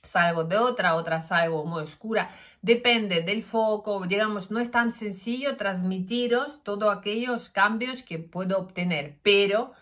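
A middle-aged woman with a Russian accent, talking casually to her phone camera about health, gesturing and pausing with fillers. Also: mid-range voice. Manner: animated, gesturing, many fillers and restarts. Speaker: health vlogger. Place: indoors, plain room with curtain backdrop. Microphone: phone camera, front-facing.

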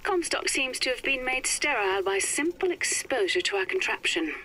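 An actress playing a coolly precise female scientist in a game, speaking in a dry, measured, clinical way. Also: on the high side, very animated, bright, forceful tone, slight background noise, rough recording. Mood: detached. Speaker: game character heard on a recorded diary.

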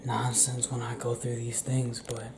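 A young man performing an intimate ASMR roleplay as a restless, tender werewolf, speaking in a hushed, halting, often whispered way. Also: mid-range voice, monotone. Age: young adult. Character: young male werewolf confiding in his partner, restless, tender. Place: small indoor room. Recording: roomy echo, clear background noise.

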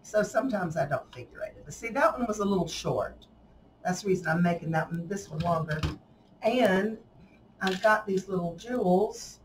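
A woman's voice: fairly low pitch, normal speed, recorded from a distance, poor audio. A woman with a Southern drawl walks through a craft project in a folksy, chatty way. Her voice sits slightly away from the mic in an indoor room with faint background noise. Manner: chatty, folksy, laughs often. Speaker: craft tutorial host. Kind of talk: casual hands-on craft demonstration.

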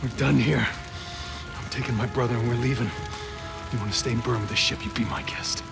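An actor playing a gutsy, defiant adventurer and loyal brother in a video game cutscene, with an American accent and an urgent, emphatic delivery. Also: male voice, medium pitch, fairly even intonation, fairly quick, vocal fry, natural voice. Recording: loud music under the voice.

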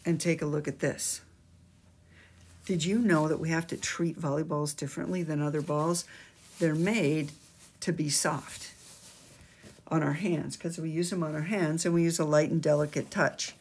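A woman's voice: deep voice, steady tone, normal speed, a little mellow, slightly rough. An older woman on the school staff explaining gym rules to students in an emphatic, sing-song teacherly way, recorded on a webcam in a small office. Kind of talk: instructional school video. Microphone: webcam at desk.

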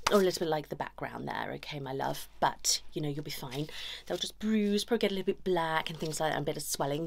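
A woman with a fairly low, swooping voice plays a chiropractor in an ASMR role-play. She moves between soft speech and whispering, coaxing the patient with short, repeated reassurances. The lo-fi recording carries clear background noise and clicks. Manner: soothing, coaxing, talks directly to a patient. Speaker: ASMR performer playing a chiropractor. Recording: lo-fi, noisy, with clicking sounds.

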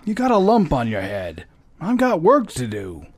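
An actor playing a friendly, gruff police lieutenant in a radio drama, talking in brisk, slangy, wisecracking tough-guy lines. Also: on the high side, huge pitch swings, a loud crowd. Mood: bantering. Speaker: police officer. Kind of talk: 2009 re-creation of 1950 radio drama. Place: recorded live, San Francisco.

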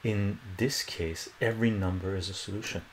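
A man's voice: low-pitched, fairly even intonation, normal speed, slight background noise. A middle-aged man born in Iran with Armenian as his first language, chatting casually through a math lesson at a whiteboard in a small home room and often asking "right?". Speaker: math tutor.

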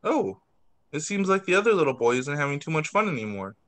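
A man teacher reading picture books aloud to young children on a video call, expressive, drawing out words and adding child-friendly asides. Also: on the high side, brisk. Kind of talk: animated read-aloud story time for young children.

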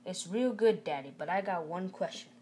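An eager young boy in a storybook, read by a woman, speaks with cocky, questioning energy. The recording has clear noise behind the voice. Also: fairly low pitch, moderate pace, darkish tone, natural voice. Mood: curious.

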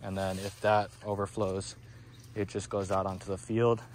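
Man, low voice, flat delivery, outdoors, handheld phone, walking, relaxed with fillers. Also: moderate pace, a little hiss or hum. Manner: relaxed, many fillers like "um, you know". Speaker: forest garden grower giving a tour. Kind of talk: casual walking tour explanation. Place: outdoors in a garden field. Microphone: phone camera held by the speaker.

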